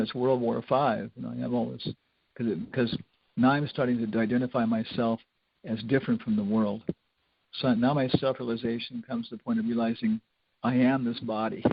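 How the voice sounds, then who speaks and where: Older man, gravelly informal spiritual lecturer. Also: medium pitch, no room sound. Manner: rambling, conversational, with wry humour. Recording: dull lo-fi lecture recording.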